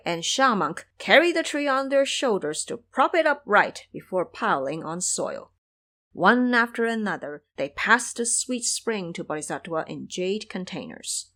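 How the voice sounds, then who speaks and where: Female narrator for audio drama, measured storytelling delivery, very varied intonation. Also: mid-range voice, a bit bright.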